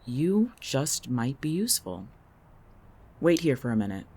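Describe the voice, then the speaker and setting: Audio drama role for an actor: sardonic, calculating town local, sharp-tongued and irritable, animated in pitch. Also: measured pace, breathy edge, exaggerated voice. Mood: probing, cold. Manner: biting, sarcastic, dry-witted.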